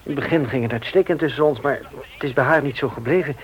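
A man's voice: medium pitch, quick pace, lightly acted, rough recording. A man playing a hesitant, earnest family friend in a radio play.

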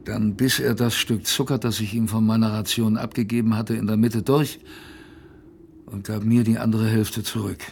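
An actor playing a young polar sailor who narrates his ordeal in a radio play, vivid, conversational and suspenseful, with a creaky voice. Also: on the low side, level tone, normal speed, darkish tone, slight background noise. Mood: reflective. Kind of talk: dramatic first-person radio play narration.

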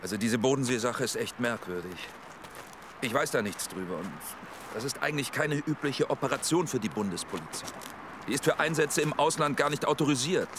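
An actor playing a German counter-espionage official in a radio drama, talking in a confiding, guarded, low-key way. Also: male voice, lively intonation, fairly quick, background noise, natural voice.

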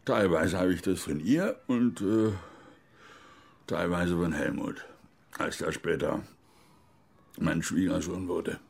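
An actor playing a bitter older East German former officer and grieving father, telling his story broodingly and haltingly in a radio drama. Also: low-pitched, gravelly, a little mellow, slightly rough, full character voice. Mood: wry, reminiscing.

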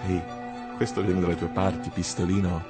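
A man's voice: deep voice, flat delivery, brisk, natural voice. An actor playing a cocky, mocking teenage boy in a radio drama, talking in slangy, teasing swagger. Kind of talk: colloquial theatrical radio drama. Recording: loud music behind the voice.

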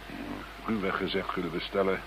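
A man playing a worried, businesslike police investigator with a measured manner in a radio play. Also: deep voice, flat delivery, natural voice. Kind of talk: Dutch radio play acting. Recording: hissy radio play recording.

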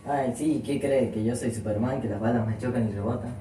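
A man's voice: medium pitch, a little fast, warm tone, natural voice. Man acting a whiny cowardly cop in an echoey room. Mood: indignant. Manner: whiny, comic, self-excusing. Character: cowardly, gluttonous, lazy male policeman. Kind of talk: amateur comedy skit acting. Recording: echoey room, distant mic, background noise.

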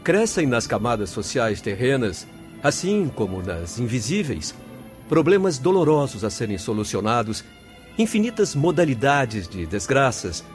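Grave, expressive male spirit counsellor voiced by an actor. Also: medium pitch, normal speed, faint music behind, natural voice. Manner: oratorical, solemn, formal. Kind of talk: radio drama acting.

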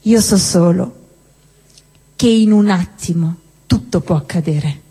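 A woman's voice: lowish voice, slow pace, mellow, breathy edge. Woman reciting dramatically in a reverberant hall. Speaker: stage performer at a live show. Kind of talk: theatrical spoken-word monologue recital. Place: indoor cinema-theatre venue with long reverb. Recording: reverberant hall, live stage performance.

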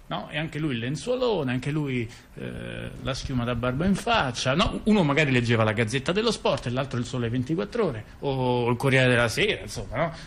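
A man's voice: medium pitch, big pitch swings, brisk, creaky, hardly any pauses, fairly bright tone. A man telling a long story in a theatrical radio dialogue, chatty and digressive, full of asides and fillers. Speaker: theater performer.

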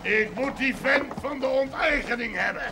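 An actor playing a defiant, blustering farmer, loud, sardonic and declamatory, in a television drama. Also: male voice, extremely high pitch, normal speed, vocal fry. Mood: angry, demanding. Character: defiant, blustering Limburg farmer facing land expropriation. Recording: noisy 1970s television soundtrack.

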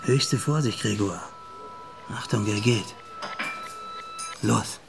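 An actor playing a streetwise, bossy young petty crook in a German radio crime drama, talking in brusque, needling slang. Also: male voice, fairly low pitch, slow pace, creaky. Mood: tense, urgent. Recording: music behind the voice.

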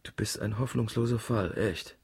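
A man's voice: fairly low pitch, normal speed, a little mellow, slight background noise, natural voice. An actor playing a confident, womanizing scientist with a teasing, matter-of-fact manner in a German radio drama. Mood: teasing, familiar. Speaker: scientist examining animal carcasses.

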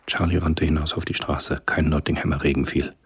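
An actor narrating a spy thriller radio play as a grave storyteller, with a rough, husky voice and a measured, sober delivery. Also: male voice, rumbling low, little pitch movement, full character voice. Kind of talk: scripted radio drama narration.

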